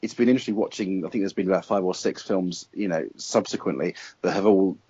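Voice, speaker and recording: A middle-aged man with a low, husky voice talks films in a thoughtful, halting way on a casual panel. The recording is close and dry but slightly dull. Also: mellow, dry recording. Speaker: guest film critic on a panel. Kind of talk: casual opinionated panel discussion.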